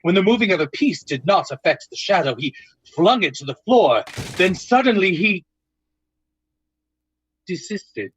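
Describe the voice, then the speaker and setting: A man narrating a ghost story in old-time radio style, with deliberate, suspenseful storytelling. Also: sits high, big pitch swings, fairly bright tone, slightly rough, muffled recording.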